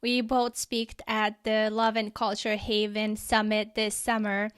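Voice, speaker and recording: A woman's voice: medium pitch, monotone, a little slow, smooth. A woman hosting a podcast, interviewing in a casual, enthusiastic way. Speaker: podcast host.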